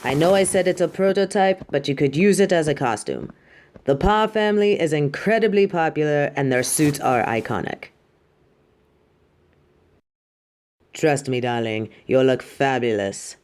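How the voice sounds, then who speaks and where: A woman's voice: low-pitched, moderate pace, darkish tone, lightly acted. A woman playing a proud, exacting yet fond superhero costume designer in a scripted roleplay, speaking in a grandiose, dramatic way. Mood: resigned, fond. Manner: grandiose, dramatic, addresses listener as darling. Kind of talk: scripted roleplay audio monologue.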